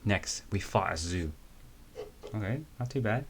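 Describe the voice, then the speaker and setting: A man lends his own low voice to a young woman raised in the wilds, narrating her trip to a zoo. The delivery stays plain and unpolished, like a casual fan reading of a comic. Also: expressive, a little slow, a little hiss or hum. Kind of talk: amateur dramatic reading of a comic.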